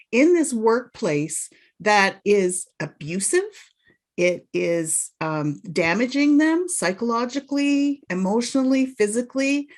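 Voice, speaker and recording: A woman guest on a podcast telling her own story, earnest and passionate, often restarting her sentences. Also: fairly high pitch. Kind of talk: personal storytelling in an interview.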